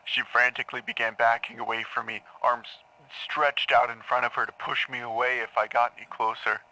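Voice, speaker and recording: An actor playing a haunted man in an audio drama, confessing in halting, repetitive phrases to a grim crime. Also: mid-range voice, little pitch movement, sharp tone, poor audio. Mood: numb, grim. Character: haunted man confessing to killing his parents in therapy. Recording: taped therapy session sound.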